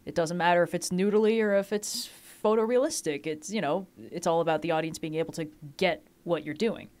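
A woman hosting a casual podcast, lively and quick to laugh at herself. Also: lowish voice. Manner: lively, laughing, self-deprecating. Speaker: podcast host. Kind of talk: casual chat.

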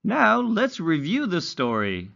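A man's voice: high-pitched, huge pitch swings, slow pace, vocal fry, a bit bright, lo-fi sound. A man teaching young children in a read-along lesson, enunciating carefully with exaggerated, instructional intonation. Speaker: English teacher for young learners.

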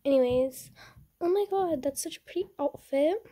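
High, soft-toned chatty young gamer woman. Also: normal speed. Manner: chatty, rambling, easily excited. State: slightly stuffy nose from a cold. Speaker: gaming channel host. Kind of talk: casual game commentary.

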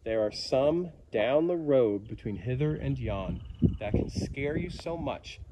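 Male storyteller for rhyming kids' book, mid-range voice, highly animated and dramatic, recorded outdoors with light ambient noise. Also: a little slow. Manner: expressive, theatrical storytelling with dramatic emphasis. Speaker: outdoor education naturalist reading a children's book aloud. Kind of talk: rhyming picture book read-aloud.

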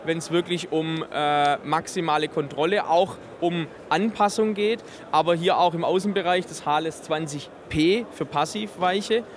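High-pitched man explaining technical products. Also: normal speed, few pauses, slight background noise. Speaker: exhibitor presenting a loudspeaker system. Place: busy trade fair booth in a large hall. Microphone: handheld interview microphone held by interviewer.

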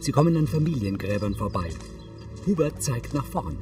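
A man's voice: mid-range voice, big pitch swings, normal speed. Male audio description narrator, scripted read, mid-range voice, music behind.

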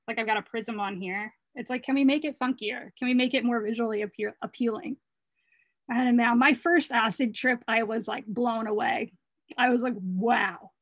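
A woman artist speaks over her slides in a slightly high, hoarse voice. She is earnest and rambling, restarting her phrases often. The sound is dry and close, with no room echo. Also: lo-fi sound. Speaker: artist presenting her work. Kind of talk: casual talk over slides.

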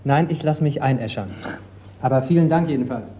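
A man's voice: mid-range voice, brisk, slight background noise, close to an everyday voice. Guy playing probing insurance detective, old radio crime play, dull sound, keeps pressing. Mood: composed, dry. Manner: probing, insistent. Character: persistent, unruffled insurance investigator, a man. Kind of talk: radio crime drama acting.

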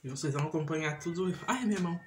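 A woman's voice: low voice, very fast. A middle-aged Brazilian woman chatting casually and ramblingly as she does chores, often checking in with the listener. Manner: rambling, repetitive, often ends with a checking tag. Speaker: home vlogger, mother. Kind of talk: casual chat while doing chores. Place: small room at home, indoors. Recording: clear household noise, handling clicks.

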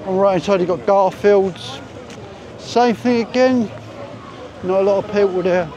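High-voiced Cockney man strolling. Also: normal speed, mellow, muffled recording. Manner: chatty, thinking aloud as he strolls. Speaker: vlogger. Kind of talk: walking tour commentary. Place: outdoor resort streets. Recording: busy street crowd behind.

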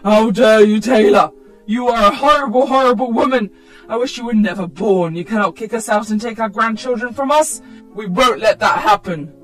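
Woman acting a shrill, outraged middle-aged mother. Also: normal speed, faint music behind, close to an everyday voice. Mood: furious. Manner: emphatic, scolding.

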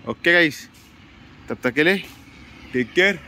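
A man's voice: a little high, lively intonation, unhurried, pushed and bright, a little hiss or hum. A male travel vlogger chatting casually and playfully to his viewers, mixing English words into his talk.

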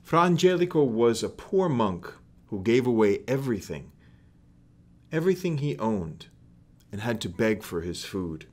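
Middle-aged male storyteller, mid-range voice, slow deliberate read-aloud for children. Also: medium pitch, sing-song, a little mellow. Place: small plain room indoors.

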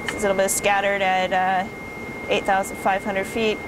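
A woman playing a methodical airline first officer, reading clearances and checklists in a procedural, halting way. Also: mid-range voice, flat delivery, lightly acted. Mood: procedural, focused. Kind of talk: cockpit radio calls and checklist readout. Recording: noisy cockpit hum behind voice.

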